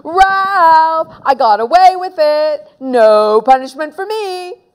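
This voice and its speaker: A woman's voice: high voice, lively intonation, slow pace, clean tone, pitched above the performer's own voice. A woman voicing a mischievous, boastful young boy from a children's storybook in a theatrical, taunting way. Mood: excited.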